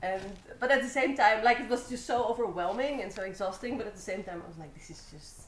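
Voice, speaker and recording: A young woman from the Netherlands, a Muay Thai fighter, telling her story in a relaxed, rambling way with many fillers. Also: medium pitch, fast, echoey room, slight background noise, low-quality recording. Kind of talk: casual interview answers.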